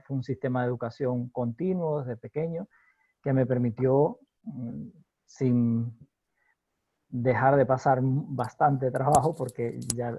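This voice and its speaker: A Cuban man from Holguín, a law professor, answering interview questions in a measured, hesitant way, with many fillers and frequent laughs. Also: mid-range voice, warm tone, dry recording, lo-fi sound. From Cuba, Holguín.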